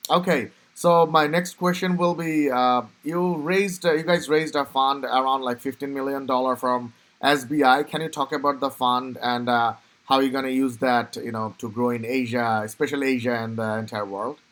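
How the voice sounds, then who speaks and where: A man's voice: mid-range voice, fairly even intonation, moderate pace, few pauses, a bit bright. A man hosting a podcast interview, asking questions in an eager way and repeating praise. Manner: enthusiastic, repeats praise like "awesome". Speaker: podcast host. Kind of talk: casual interview.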